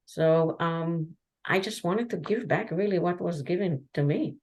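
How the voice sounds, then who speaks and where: A woman with a deep, low voice whose first language is Hebrew talks as a guest on a podcast. She tells her story candidly and haltingly, with frequent restarts and fillers. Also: a little mellow.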